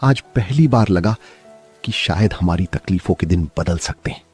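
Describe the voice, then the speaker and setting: A man's voice: low-pitched, expressive, normal speed, darkish tone, husky, soft background music, full character voice. A man playing a hardworking street vendor, Manish. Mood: earnest. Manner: earnest, heartfelt. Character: hardworking poor male food vendor Manish.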